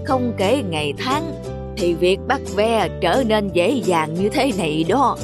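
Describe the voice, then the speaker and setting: A woman's voice: medium pitch, animated, takes it slowly. Woman as humble old countryman, music underneath. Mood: patient, explaining. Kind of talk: scripted cartoon dubbing.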